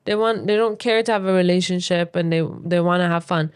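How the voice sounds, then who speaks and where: Smooth-voiced woman chatting on a podcast. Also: on the low side, brisk. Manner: opinionated, emphatic, advice-giving. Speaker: podcast co-host. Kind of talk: casual chatty podcast talk.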